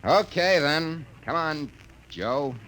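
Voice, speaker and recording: A man's voice: on the high side, animated, slow, a bit bright, soft background music. Brash, punchy radio-drama salesman. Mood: exasperated. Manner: slangy, wisecracking. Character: brash, fast-talking salesman of logic machines, exasperated by kids. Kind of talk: 1950s radio drama acting. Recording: noisy vintage 1950 radio recording.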